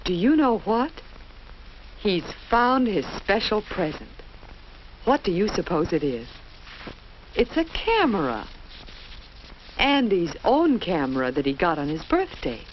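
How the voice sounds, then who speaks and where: Lively American woman narrating a vintage children's film. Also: mid-range voice, unhurried, long pauses. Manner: didactic, simple phrasing with rhetorical questions to young listeners. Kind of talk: 1950s educational film narration, scripted storytelling to children. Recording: dull, noisy vintage 1950s film soundtrack.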